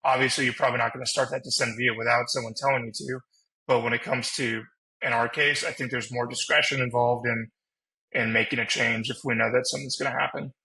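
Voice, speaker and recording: A man speaks in a bright, forceful voice at a middling pitch. His intonation stays fairly level as he chats casually as a pilot on a podcast panel. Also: level tone, fast talker, gravelly, low-quality recording.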